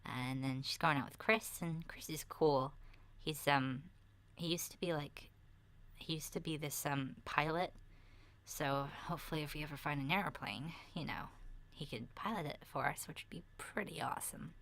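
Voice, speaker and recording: A woman voicing a chatty, candid young girl surviving a zombie apocalypse in a soft, breathy, half-whispered ASMR roleplay, talking in a hesitant, rambling way. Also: low voice, moderate pace. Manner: hesitant, rambling, youthful casual phrasing.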